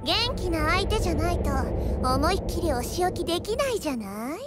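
A woman's voice: very high-pitched, sing-song, brisk, over loud music. A woman voicing a small, girlish academy headmistress who frets and threatens punishment, in anime acting. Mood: teasing. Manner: doting yet threatening, fretful.

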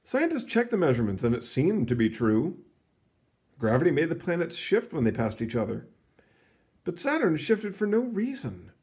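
A man reading a children's science book aloud in an expressive storytelling manner, stretching words for emphasis, with casual asides. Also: a little high. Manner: animated, storytelling delivery with drawn-out emphasis. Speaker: read-aloud reader.

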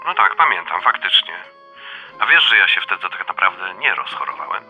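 An actor playing a blunt, wry middle-aged son in a radio drama, speaking colloquial with a dryly teasing manner. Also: male voice, low voice, big pitch swings, a little fast, dull audio, faint music behind. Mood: reflective. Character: blunt, wry adult son, uneasy new father. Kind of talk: radio drama dialogue, phone conversation.

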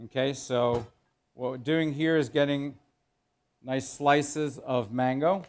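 A middle-aged man, a chef teaching in a kitchen, explaining as he works in an informal, halting way and repeating phrases for emphasis. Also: medium pitch, fairly even intonation. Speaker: chef giving a cooking demonstration. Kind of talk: instructional cooking demo, explaining while working. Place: indoor professional teaching kitchen.

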